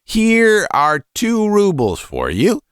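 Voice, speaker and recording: A miserly storybook father with a ferocious temper. His voice is pitched high and strained, swooping widely as he domineers his family in shrill, theatrical outbursts. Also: huge pitch swings, slow pace, clean tone, no room sound, studio quality, full character voice. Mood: grudging. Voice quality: shrill, unnatural shouting. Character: short fat ferocious miserly father, raging tyrant.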